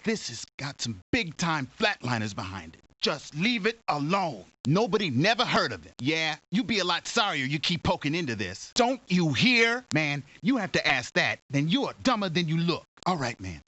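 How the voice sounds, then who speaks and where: A man's voice: on the high side, big pitch swings, normal speed, few pauses, sharp tone, no echo, heavily acted. A man voicing a cagey, cocky street informant for a video game, sneering in brash slang. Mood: cagey, hostile. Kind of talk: video game character acting.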